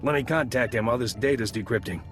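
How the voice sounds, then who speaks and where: An actor playing a gruff, businesslike male military intelligence agent in a video game. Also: mid-range voice, fast, soft background music, close to an everyday voice. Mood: dry, businesslike.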